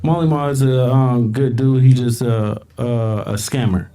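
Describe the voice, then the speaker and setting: A man in a played interview clip tells a story in a rambling way, filling gaps with "um" and "uh". His voice is dark and mellow. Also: mid-range voice, fairly even intonation, measured pace. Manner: rambling storyteller, many fillers. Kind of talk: casual interview talk.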